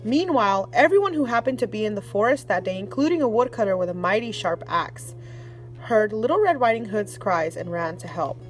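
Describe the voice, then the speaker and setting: Lively woman reading a storybook. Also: medium pitch, brisk, soft background music. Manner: expressive read-aloud, warm sign-off to kids. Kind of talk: reading a children's story aloud.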